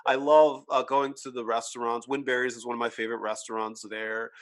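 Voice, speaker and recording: A man's voice: mid-range voice, flat delivery, fairly quick, a crowd in the background. A young man with a strong South Jersey accent, hosting a casual podcast interview, eager and stammering through restarts. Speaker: podcast host.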